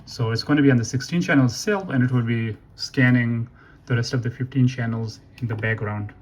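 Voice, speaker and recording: A man giving a casual, unscripted software walkthrough in a halting way, thinking aloud and correcting himself. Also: medium pitch. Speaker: tutorial presenter. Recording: noisy room with keyboard typing. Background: computer keyboard clicks, ambient noise.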